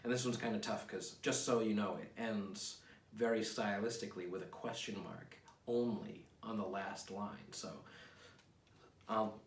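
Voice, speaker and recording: A man's voice: lowish voice, a little slow. An American man in his thirties talking casually as a writer presenting his own work, rambling in a wry, self-deprecating manner. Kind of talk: casual rambling vlog with poem readings. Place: small home office, indoors. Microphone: camera mic a few feet away. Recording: roomy echo, distant mic, clear noise.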